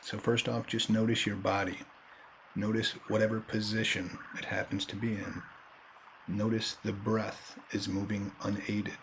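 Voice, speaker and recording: A man leading a guided meditation in a calm, unhurried manner, leaving long pauses between short phrases. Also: on the low side, background noise. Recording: background nature ambience and birdsong. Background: nature and bird sounds.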